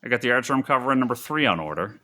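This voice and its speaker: A man's voice: mid-range voice, expressive, very fast, bright, forceful tone. A man co-hosting a casual podcast, talking in an exasperated, emphatic way, repeating himself and swearing. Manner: exasperated, emphatic, repetitive ranting with profanity. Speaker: podcast co-host. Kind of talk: casual comics podcast banter.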